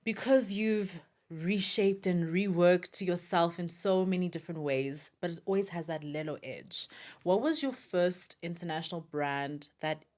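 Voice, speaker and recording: A woman's voice: on the low side, a little slow, darkish tone. A woman co-hosting a casual interview podcast in a supportive, encouraging manner. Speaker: podcast co-host.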